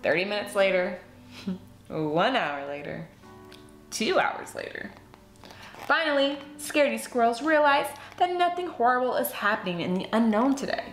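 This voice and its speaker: Woman, very animated storybook narrator, high voice, dramatic emphasis, roomy echo, music behind. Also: normal speed. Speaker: children's book read-aloud host. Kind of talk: expressive storybook read-aloud.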